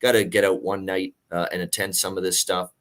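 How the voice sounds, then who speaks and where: Gravelly, low-voiced male sports host. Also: little pitch movement, a little fast, fairly bright tone. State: voice worn from a night of singing. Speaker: host of a sports betting show. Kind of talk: casual sports talk.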